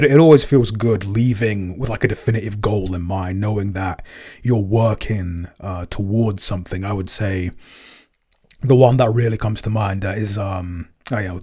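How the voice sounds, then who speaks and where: A man's voice: lowish voice, a little mellow. A man co-hosting a podcast in casual chat, hesitant, with many "um"s and restarts. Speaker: podcast co-host, producer.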